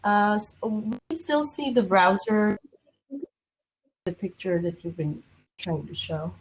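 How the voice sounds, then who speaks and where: A woman's voice: medium pitch, lively intonation, slow, breathy edge, rough recording. A woman organizing the panel who breaks in with short, helpful remarks on a video call.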